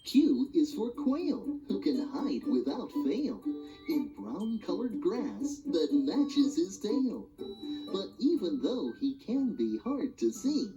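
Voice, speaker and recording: A woman reading a rhyming children's animal alphabet book aloud in a sing-song, expressive way. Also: mid-range voice, slow. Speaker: storybook reader. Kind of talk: children's read-along narration. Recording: dull lo-fi audio with music behind.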